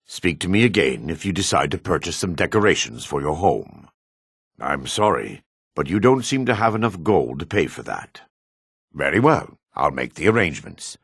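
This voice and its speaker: An actor playing a male dark elf townsman in a fantasy video game, with a raspy voice, gruff and theatrical. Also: low-pitched, no room sound, clean studio sound, heavily acted. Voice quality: raspy, husky.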